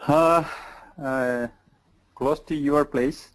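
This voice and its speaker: A man's voice: a little high, steady tone, very slow, poor audio. Polite Indian man asking questions. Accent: Indian English. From Kerala, India. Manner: polite, questioning, some restarts. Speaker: new trainee. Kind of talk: casual conversation.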